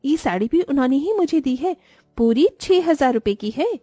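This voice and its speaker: A woman's voice: high voice, animated, moderate pace, warm tone, no echo, clean studio sound. A woman playing a pleasantly proud young married neighbour in a cartoon story. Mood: pleased. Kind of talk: cartoon voice acting.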